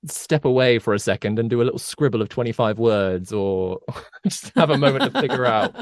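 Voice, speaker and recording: A man co-hosts a podcast as its game master, talking in a casual, conversational way. His voice is medium in pitch, and the recording is dry with no room sound. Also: animated, a little fast, dry recording.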